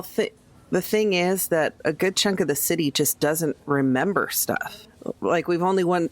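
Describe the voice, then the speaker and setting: Game player, informal delivery, middle pitch, loose conversational phrasing. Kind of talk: casual in-character game discussion.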